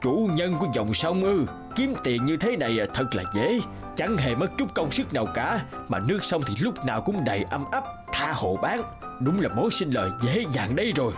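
A man playing a greedy, pompous fat rich man in an animated folk tale, with an animated delivery. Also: fairly high pitch, moderate pace, music in the background. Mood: greedy, calculating.

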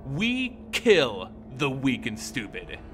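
A man's voice: medium pitch, huge pitch swings, slow pace, faint music behind. A man voicing a haughty female vampire lord in a fantasy story, coy, mocking and condescending. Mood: offended, hissing.

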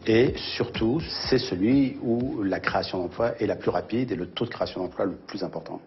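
A man giving unscripted news interview answers, hesitant, often pausing mid-phrase. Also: on the low side. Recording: dull sound with music behind. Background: prominent background music.

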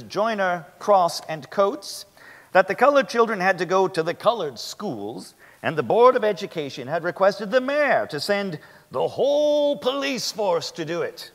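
A man playing a methodical 1890s defence lawyer in a dramatic stage reading, reciting formal questions deliberately. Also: high voice. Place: large theatre stage, indoors. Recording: echoey theatre hall.

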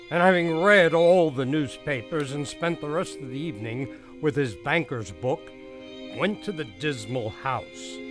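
A man narrating a scripted story for a radio drama, in a storybook style. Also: a little high.

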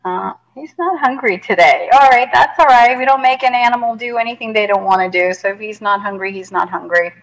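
A middle-aged woman from Costa Rica, a zoologist giving a live animal talk, chatty and animated, with many fillers and restarts, on a headset mic indoors. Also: fairly high pitch, brisk, few pauses, roomy sound, rough recording. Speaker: zoologist educator. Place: indoor animal facility room.

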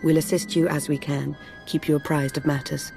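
A calm, poised female agent in a video game, speaking in a measured, even tone. Game music sits behind her voice. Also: low-pitched, creaky. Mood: composed.

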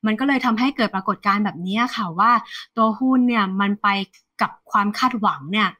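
A woman in her thirties, an economist guest, explaining the market in a lively, conversational way over a video call. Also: mid-range voice, brisk. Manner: animated, refers to herself by nickname, mixes English terms. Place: plain indoor room, video call.

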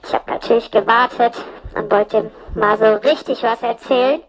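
A woman's voice: a little high, steady tone, moderate pace, soft background music, low-quality recording. A woman rambling through a casual comic monologue as a storyteller, slangy and sweary, joking and mimicking people as she goes. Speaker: audio-play storyteller in a puppet's persona.

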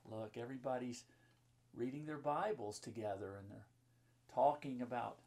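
A man's voice: medium pitch, moderate pace, darkish tone. A man in his fifties, a pastor reading and talking to children in simple, explanatory phrases as in a Bible story time. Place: book-lined room indoors.

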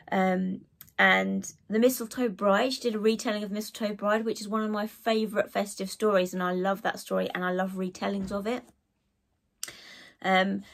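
Croaky-voiced woman chatting about books. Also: medium pitch. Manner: chatty, warm asides, frequent ums. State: hoarse from a cold. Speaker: book vlogger. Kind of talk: casual chat to camera. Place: living room with bookshelves.

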